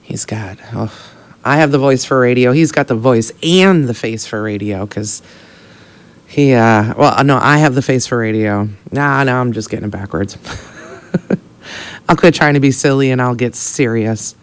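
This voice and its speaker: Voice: mid-range voice, a little slow, clear, resonant tone. A radio host chatting casually on air, bubbly and joking, tripping over their own words. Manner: bubbly, joking, self-correcting. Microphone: studio microphone.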